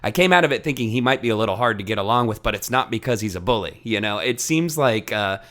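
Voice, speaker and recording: A man's voice: fairly low pitch, animated, fast talker, fairly bright tone. A man hosting a podcast, talking casually through a book discussion in a conversational way. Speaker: podcast host.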